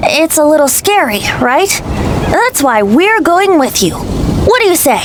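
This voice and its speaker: High, easygoing boy bard. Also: big pitch swings, unhurried, heavy background noise. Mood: gentle, curious. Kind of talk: video game voice acting. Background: loud music.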